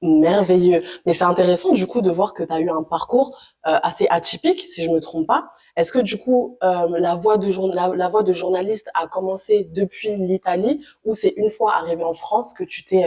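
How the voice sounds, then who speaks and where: Interviewer with a mellow, warm tone. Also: female voice, on the low side, normal speed. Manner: encouraging, rephrases and sums up. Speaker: interviewer, host.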